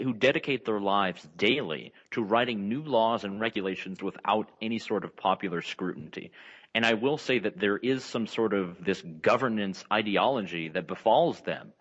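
Earnest American man, low voice. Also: a bit bright, dull audio. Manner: earnest, halting. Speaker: journalist guest. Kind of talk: radio interview answers.

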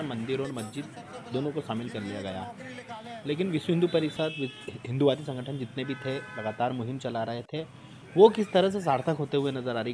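A man's voice: mid-range voice, darkish tone, slight background noise. A man asking interview questions as a television news reporter, recorded indoors. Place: small furnished room indoors. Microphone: handheld microphone pointed toward the interviewee.

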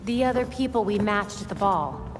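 Brisk young crimefighter woman, played by a woman, music and reverb behind. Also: mid-range voice, animated, close to an everyday voice. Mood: focused. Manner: brisk, decisive.

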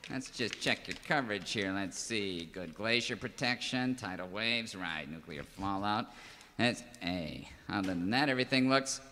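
An actor playing a scheming, gloating cowboy villain in a sneering, drawn-out, melodramatic parody of an old radio serial. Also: male voice, lowish voice, pushed and bright, in a large hall, a little hiss or hum. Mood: sly. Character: gloating, scheming male villain land-grabber in a western.

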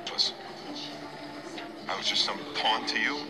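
A man's voice: lowish voice, fairly even intonation, a little slow, loud music behind the voice, lightly acted. An actor playing an earnest, determined young male action hero in a dramatic survival horror video game. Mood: hurt, resolute.

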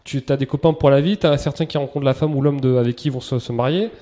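Mellow-voiced man chatting casually. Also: medium pitch, fast talker. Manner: relaxed, chatty, lots of restarts and slang. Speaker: interview guest, entrepreneur. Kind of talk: casual podcast interview.

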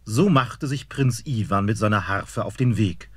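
A man narrating a fairy tale in an expressive storytelling manner. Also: lowish voice, unhurried, breathy edge, no echo.

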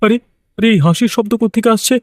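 High-pitched, excitable village scavenger played by a man. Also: racing, no room sound, studio quality, lightly acted. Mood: defiant bluster. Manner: excitable, blustering. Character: stubborn, cowardly village scavenger man, easily panicked. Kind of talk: cartoon horror voice acting.

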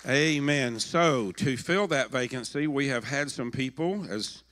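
An older man makes church announcements into a handheld mic from a sanctuary stage. His tone is bright and forward, folksy and halting with easy fillers. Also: mid-range voice. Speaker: pastor.

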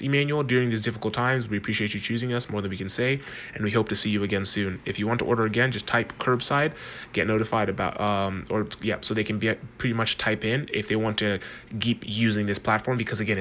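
A man's voice: lowish voice, a bit bright, slight background noise. A man running a casual walkthrough of a chatbot on his phone, talking loosely with restarts. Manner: quick, chatty, loose with restarts. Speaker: consultant demoing a chatbot. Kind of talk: casual screen-recorded walkthrough.

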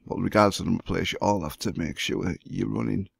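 A hoarse man who has the flu, walking through a computer setup step by step as the host of a gaming channel. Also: low-pitched, fast talker, no echo. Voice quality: gravelly, husky. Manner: plain step-by-step explaining. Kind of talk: screen-recorded tutorial.

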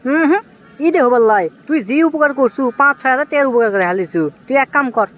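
A man plays an excitable young village schemer in a comic cartoon. He has a high voice and a Bogura accent. The words tumble out extremely fast, wheedling and exclamatory, over faint background noise. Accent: Bogura, northern Bangladesh. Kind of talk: comic cartoon dialogue in rural dialect.

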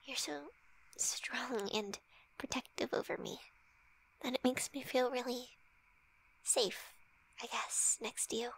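A woman playing a flirty, shy, teasing best friend in an intimate ASMR roleplay, with breathy, hesitant, soft speech. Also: on the high side, slow pace, long pauses, exaggerated voice, rough recording. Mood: tender, shy. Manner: breathy whispering, hesitant, giggly.

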